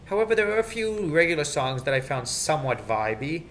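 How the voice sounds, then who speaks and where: Male casual vlogger, rambling and opinionated, animated intonation, some noise on an amateur home recording. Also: a little high, a little fast. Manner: rambling, opinionated, repeats himself. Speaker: video creator. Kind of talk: casual off-the-cuff rant about children's TV theme songs.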